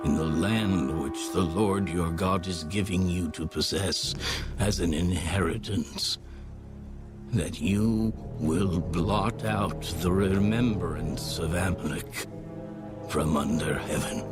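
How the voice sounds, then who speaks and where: A man giving a solemn, measured scripted reading of scripture as an audio Bible narrator. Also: deep voice. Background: background music.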